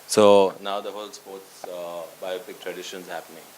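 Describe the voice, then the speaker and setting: Guy, low voice, filmmaker chatting in an interview. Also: flat delivery, moderate pace, darkish tone, a little hiss or hum, low-quality recording. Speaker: documentary director and writer. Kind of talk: conversational interview answers.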